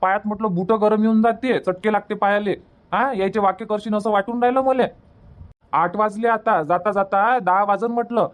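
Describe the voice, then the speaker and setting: A man's voice: high voice, fairly even intonation, moderate pace, lightly acted. Guy doing a village husband in a cartoon, varhadi marathi accent, keeps arguing and soothing, says things over again. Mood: impatient. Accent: Varhadi Marathi from Vidarbha. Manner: colloquial, repeats himself to make his point. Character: village husband and father, placating and argumentative. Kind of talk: cartoon comedy dialogue.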